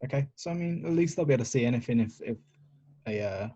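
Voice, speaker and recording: A man's voice: medium pitch, expressive, fast, warm tone, husky. A man chatting casually as a player in a tabletop game, on a slightly dull recording.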